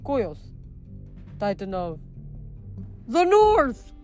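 A woman's voice: on the high side, wildly swooping pitch, very slow, darkish tone, faint music behind, voice pushed up, full character voice. A woman voicing a jovial but menacing male Titan giant, boastful and theatrical, in a storybook reading. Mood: scornful, grandiose. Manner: theatrical, grandiose, hearty.

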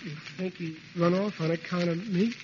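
A man playing an excitable, dim but soft-hearted working-class father, blustering theatrically in 1940s radio sitcom style. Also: sits high, moderate pace, lightly acted. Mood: self-pitying. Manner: exasperated, theatrical, ungrammatical bluster. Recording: noisy muffled vintage radio recording.

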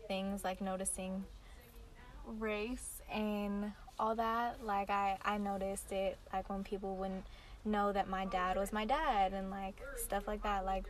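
A young woman leading a casual group chat, speaking softly and filling her sentences with "like". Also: mid-range voice, level tone, takes it slowly. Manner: frequent "like" fillers, soft-spoken. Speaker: discussion leader.

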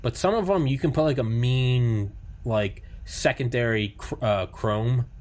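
A man hosting a podcast in casual chat, rambling excitedly with lots of swearing and filler words. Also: medium pitch, unhurried. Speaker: podcast host.

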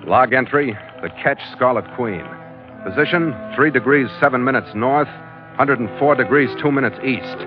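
A man's voice: medium pitch, normal speed, natural voice. An actor playing a weary, tough sea captain narrating his log in terse 1940s radio style. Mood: clipped, businesslike. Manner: terse, hard-boiled narration. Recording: muffled old radio recording with music.

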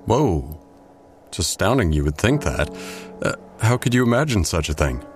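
A man's voice: deep voice, big pitch swings, moderate pace, over music. An actor playing a wealthy man deflecting questions with flustered denials. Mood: evasive. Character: rich playboy man hiding a secret.

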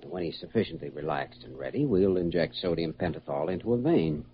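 An actor playing a calm, authoritative American doctor in a classic radio drama, speaking in a measured, reassuring way. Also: male voice, deep voice, a little hiss or hum. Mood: calm, explanatory. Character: calm, authoritative male doctor guiding a hypnotized patient. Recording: dull, lo-fi old radio recording.

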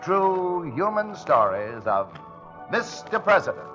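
A man's voice: high-pitched, very animated, slow pace. A man announcing an old-time radio show in a grand, declamatory scripted style. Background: loud music.

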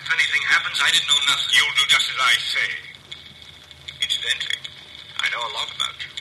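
Hard, sharp-voiced radio gangster. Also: male voice, mid-range voice, quick pace, poor audio. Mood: tense. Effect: played back through a phonograph. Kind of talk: 1950s radio crime drama acting. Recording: harsh, hissy phonograph playback.

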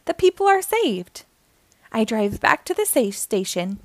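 A woman reads a children's story in a high, expressive voice. Her storytelling is dramatic and animated, with an unhurried pace suited to young listeners. The recording is dry and close. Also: no echo. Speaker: storybook reader, host. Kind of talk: expressive children's read-aloud narration.

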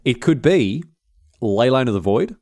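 A man's voice: medium pitch, very animated, unhurried, a little mellow. An Australian man hosting a podcast, telling stories in casual comic chat and repeating phrases for emphasis. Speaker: podcast host.

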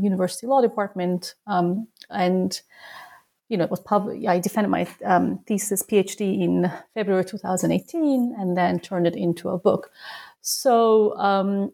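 Gravelly, mellow woman. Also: mid-range voice. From Turkey. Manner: halting, searching for words. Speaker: guest, human rights researcher and author. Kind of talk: interview answer.

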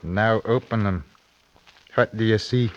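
An actor playing a proud, stern young Scottish duelist and wronged lover in a radio melodrama, clipped and solemn. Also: male voice, on the low side, measured pace, close to an everyday voice. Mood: proud, bitter. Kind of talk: old-time radio drama acting. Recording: muffled old radio recording.

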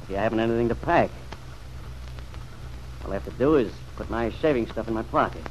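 An actor in an old radio drama playing a stubborn, bitter young war veteran with a sardonic, slangy way of talking. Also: male voice, mid-range voice, lively intonation, fairly quick, darkish tone, close to an everyday voice. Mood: cool, wounded. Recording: dull, noisy vintage radio recording.

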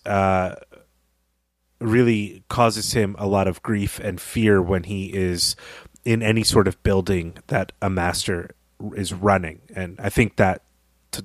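A man co-hosting a discussion show, reasoning aloud in a reflective, tentative, conversational way with measured phrasing. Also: lowish voice, no room sound. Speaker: podcast co-host discussing fiction.

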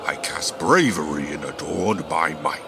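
An actor in an audio drama playing a pompous dog who fights and fancies himself a poet, speaking in grandiose, theatrical recitation with a gravelly voice. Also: male voice, extremely low pitch, animated, measured pace, over music, full character voice. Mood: solemn reciting.

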